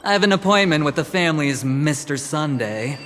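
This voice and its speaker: A man's voice: on the high side, expressive, a little fast, a bit bright, a little hiss or hum. An actor playing a smug, flamboyant young businessman in a video game, with a suave, teasing, theatrical delivery. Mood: charming. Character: smug, flamboyant young male corporate gambler. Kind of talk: scripted video game dialogue. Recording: music behind the voice.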